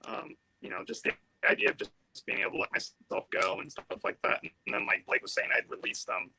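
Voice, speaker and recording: A man's voice: sits low, wildly swooping pitch, brisk, vocal fry, bright, forceful tone, slight background noise, rough recording. A man, an actor guest, chatting upbeat and loose with plenty of "like" and "so". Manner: upbeat, lots of "like" and "so". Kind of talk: casual live show chat.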